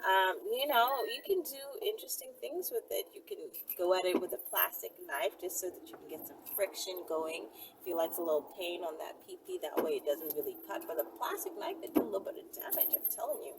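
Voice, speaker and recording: A woman talking casually and candidly to viewers as a question and answer host, with playful, drawn-out emphasis on words. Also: fairly low pitch, expressive. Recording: distant mic with loud traffic and vehicle noise. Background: traffic noise through an open window.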